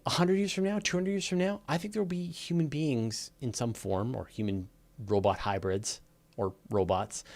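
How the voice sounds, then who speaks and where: Man, lowish voice, live Q&A host, casual explanatory talk, opinionated, emphatic repetition. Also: darkish tone. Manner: emphatic and opinionated, repeats phrases for stress. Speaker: host of a live space and astronomy Q&A.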